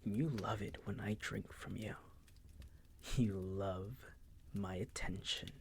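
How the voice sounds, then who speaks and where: A man playing a possessive, suave vampire master in an intimate audio roleplay, hushed and breathy, with a silky, teasing, condescending manner. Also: fairly low pitch, fairly even intonation, slow, a little hiss or hum. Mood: menacing, seductive.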